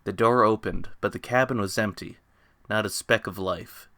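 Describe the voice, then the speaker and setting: Male story narrator for dramatic fan fiction: fairly low, bright and forceful, recorded dry and close. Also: moderate pace, no echo. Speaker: author reading own story.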